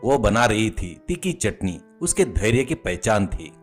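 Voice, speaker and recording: A man's voice: fairly low pitch, very animated, measured pace. A man narrating a scripted comic moral story as its storyteller. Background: music.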